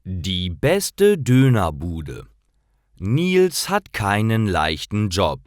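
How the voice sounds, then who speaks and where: Slow, expressive male story narrator. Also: fairly low pitch, no echo, studio quality. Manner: deliberate, careful enunciation. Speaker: narrator of German listening lessons for learners. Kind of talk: slow, clear scripted story narration for beginners.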